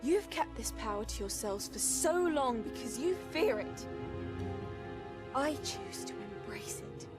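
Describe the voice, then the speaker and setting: High, intense ambitious witch. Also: lively intonation, unhurried. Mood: fervent, defiant. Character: ambitious, defiant young witch obsessed with forbidden power. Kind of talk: dramatic fantasy video game acting. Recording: loud music under the voice.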